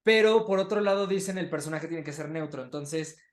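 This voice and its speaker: A man with a Mexican accent hosting a casual interview, animated and acting out other people's remarks as he talks. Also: on the high side, fairly quick, roomy sound. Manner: animated, mimics what people say in quotes. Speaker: podcast host and interviewer.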